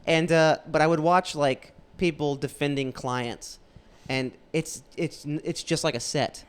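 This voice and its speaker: A man's voice: a little high, fairly even intonation, unhurried, smooth. A man in his late twenties co-hosting a podcast, chatting in a rambling, laid-back way. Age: 20s. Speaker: podcast co-host. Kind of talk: casual podcast chat.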